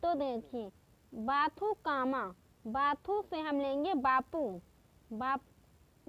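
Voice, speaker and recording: A woman teaching exam-prep memory tricks in a slow, patient way, repeating each step. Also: sits high, muffled recording. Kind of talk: exam-prep mnemonic lesson.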